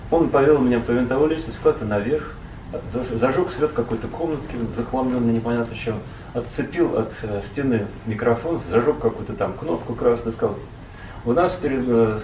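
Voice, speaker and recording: A man from Russia, a poet and translator, recalls his past as a guest in a relaxed, rambling conversation full of fillers and self-corrections. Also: medium pitch, echoey room, distant mic, background noise.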